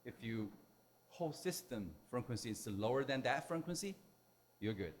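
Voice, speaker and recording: A man who is a non-native English speaker giving a technical lecture in halting, simple phrases. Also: medium pitch, expressive. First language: not English. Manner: halting, hesitant delivery with simple broken phrasing. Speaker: technical presenter at a symposium.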